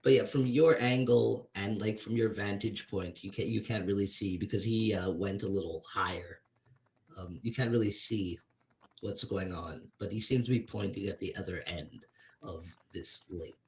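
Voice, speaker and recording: A man's voice: lowish voice, moderate pace, a little mellow, rough recording. A man narrating a tabletop game as game master, describing scenes hesitantly while he searches for words.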